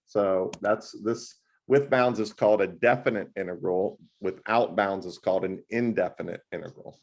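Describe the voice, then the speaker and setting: A man tutoring math one-on-one over a video call, talking loudly in an animated, joking way. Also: fairly low pitch, normal speed. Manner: loud, animated and jokey.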